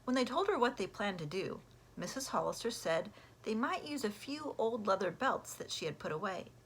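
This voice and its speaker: Animated woman reading a children's story. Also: mid-range voice, moderate pace, a little hiss or hum. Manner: animated, acts out character voices. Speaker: elementary school teacher reading aloud. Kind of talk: expressive read-aloud of a children's storybook.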